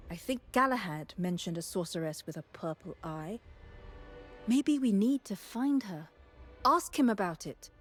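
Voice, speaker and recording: A woman's voice: medium pitch, natural voice. An actress playing a keen, confident young female scholar in a fantasy game, wry and matter-of-fact.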